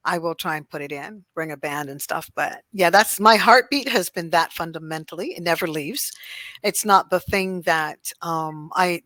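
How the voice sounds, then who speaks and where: A woman's voice: fairly low pitch, a bit bright, no room sound. A middle-aged woman from Barbados, raised in Toronto, talking as a guest about her trainings and singing, chatty, laughing often and restarting her sentences. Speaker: guest, cultural sensitivity trainer and singer. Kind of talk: casual interview chat.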